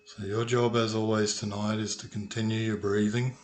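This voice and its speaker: Low, even-voiced man guiding a meditation. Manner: calm, unhurried, with long pauses between phrases. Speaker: meditation guide, sound healer. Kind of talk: guided meditation, slow soothing instruction. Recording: noisy recording with ringing tuning forks. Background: humming tuning forks.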